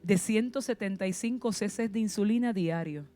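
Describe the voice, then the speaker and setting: Puerto Rican woman preacher, mellow mid-pitched voice, fervent sermon with pointed questions, soft music under her. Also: normal speed. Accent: Puerto Rican Spanish. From Puerto Rico. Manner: passionate preaching with rhetorical questions and sudden emphasis.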